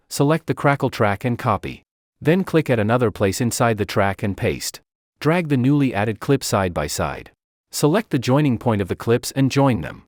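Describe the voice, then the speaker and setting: A man narrates a scripted how-to for software. His pitch moves in a lively way from phrase to phrase. The recording is close and dry, with very little room sound. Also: dry recording. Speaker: tutorial narrator.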